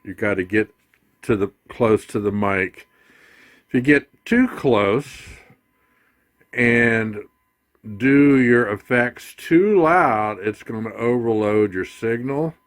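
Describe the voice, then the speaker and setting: A man giving an informal tutorial as a music gear demonstrator, explaining things in a halting, unhurried way. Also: mid-range voice.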